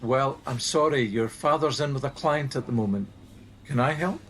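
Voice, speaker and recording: A man's voice: medium pitch, normal speed, a bit bright, a little hiss or hum, lightly acted. A man playing a conscientious helpline team leader in a naturalistic radio play, reasonable and mildly defensive. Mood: businesslike.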